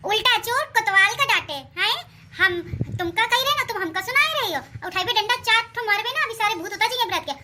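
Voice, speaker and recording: A performer voicing a scolding, sharp-tongued village sister-in-law in a comic cartoon, with a rural Uttar Pradesh accent. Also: extremely high pitch, animated, a little fast, noisy background. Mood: angry, bossy.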